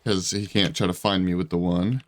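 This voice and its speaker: A man with a fairly low voice talks casually through his gameplay. He sounds congested and rambles in slangy, self-deprecating gamer talk. There is noticeable noise and clicking in the recording. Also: steady tone, moderate pace. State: congested, voice giving out. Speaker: gaming YouTuber.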